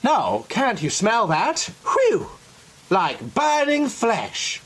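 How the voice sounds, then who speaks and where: A man's voice: high voice, huge pitch swings, extremely slow, a bit bright, slightly rough, voice pushed up, full character voice. A man voicing a gangster's fussing female companion, pleading and scolding in a horror comic dramatization. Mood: concerned.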